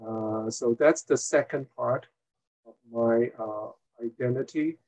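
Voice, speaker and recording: Slow, measured older man. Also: medium pitch, a little mellow, poor audio. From Hong Kong. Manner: measured, with frequent "uh" pauses. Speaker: guest, former mayor and retired college teacher. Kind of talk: interview answer, recounting his career.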